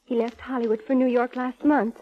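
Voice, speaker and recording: A woman's voice: fairly high pitch, level tone, fairly quick, close to an everyday voice. An actress playing a mousy, anxious young woman, hesitant and pleading, in 1940s radio drama style. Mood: worried, subdued. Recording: muffled vintage radio recording.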